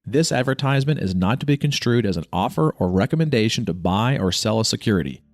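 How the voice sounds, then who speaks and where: A man hosting a podcast, reading a scripted intro and sponsor message in a smooth announcer-like way, then asking the guest questions. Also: fairly low pitch, expressive, quick pace, dry recording, clean studio sound. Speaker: podcast host.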